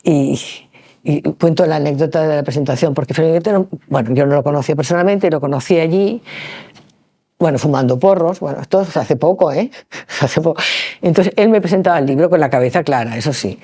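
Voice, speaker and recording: A woman's voice: sits low, brisk, warm tone, breathy edge. A woman from Barcelona, a writer, answering interview questions in a rambling, conversational way, often correcting herself and adding "¿no?" tags. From Barcelona, Spain. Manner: discursive, self-correcting, frequent "¿no?" tags. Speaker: author interviewee. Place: lecture room at a university event.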